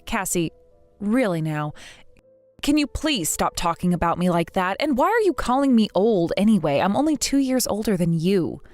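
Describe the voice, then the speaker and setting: A woman in her thirties voicing a patient, polite bride-to-be who speaks in a soft, measured, restrained way. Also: medium pitch, sing-song, no echo, faint music behind, close to an everyday voice. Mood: hurt. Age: 30s.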